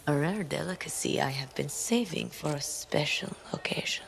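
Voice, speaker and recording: A woman's voice: deep voice, animated, normal speed, creaky, in a whisper, exaggerated voice. A woman playing an imperious, menacing smuggler crime boss in a video game, speaking with formal, grand deliberation. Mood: menacing relish. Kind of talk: dramatic video game cutscene acting.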